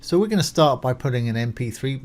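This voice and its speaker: A man with a mid-pitched voice presents a screencast tutorial in an informal, conversational way. He talks through each step as he does it, keeping things reassuring and simple. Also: animated, quick pace. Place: voice-over of a screen recording.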